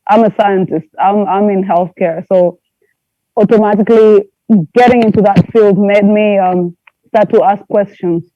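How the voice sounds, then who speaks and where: A man from Tanzania, a panelist speaking in a measured, earnest way in a casual group discussion. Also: high voice, steady tone, no room sound, dull audio.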